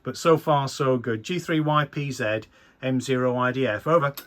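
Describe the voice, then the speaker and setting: A man with an English accent and a medium-pitched voice talks as a radio amateur. His delivery is conversational and keen, like one hobbyist chatting to another over the air. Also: moderate pace, a bit bright. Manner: chatty, enthusiastic. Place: small indoor radio room.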